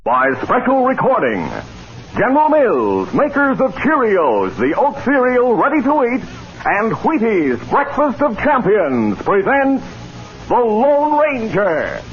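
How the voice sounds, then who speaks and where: A theatrical male announcer narrates in classic radio style, swinging through a wide pitch range. The recording is dull and vintage, with prominent music behind his declamatory delivery. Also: huge pitch swings, slow. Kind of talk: dramatic 1940s-50s radio announcing and scripted narration.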